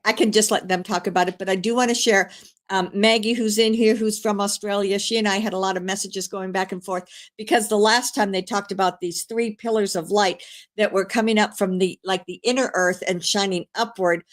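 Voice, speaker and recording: Older woman host, hoarse with a cold, rambling live-stream chat full of fillers. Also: mid-range voice, steady tone, normal speed. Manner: rambling, chatty, many fillers and restarts. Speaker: channeler hosting a live stream; grandmother.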